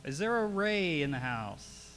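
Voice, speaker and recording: A man's voice: a little high, sing-song, a little slow, a bit bright, a little hiss or hum. A man hosting a live meetup event, joking at his own expense and introducing guests on stage. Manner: self-mocking, joking about mispronouncing names. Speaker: event host. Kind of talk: casual live emcee banter.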